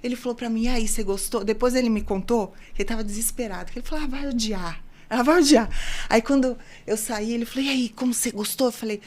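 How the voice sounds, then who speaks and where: A woman in her fifties from São Paulo, a guest on an interview podcast, telling her story in a lively way and acting out the dialogue she quotes. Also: fairly high pitch, fast. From São Paulo, Brazil. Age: 50s. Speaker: guest, open-water ultramarathon swimmer.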